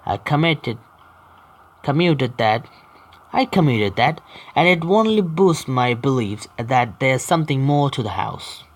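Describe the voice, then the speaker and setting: A man hosting a gaming video, reading story text aloud haltingly with stumbles and adding casual asides. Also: medium pitch, expressive. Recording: faint game music behind. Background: faint video game music.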